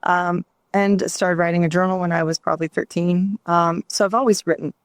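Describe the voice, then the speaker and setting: A middle-aged woman from New Mexico, a somatic therapist talking reflectively in an interview, with many pauses and ums. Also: lowish voice, steady tone, no echo, studio quality. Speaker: guest, somatic therapist and author. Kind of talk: candid interview conversation.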